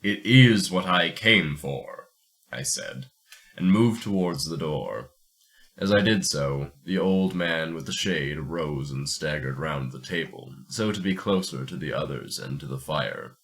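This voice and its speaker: A man's voice: a bass voice, animated, unhurried. A man hosting a fiction podcast, reading a story aloud and commenting on it. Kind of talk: dramatic story narration and casual commentary.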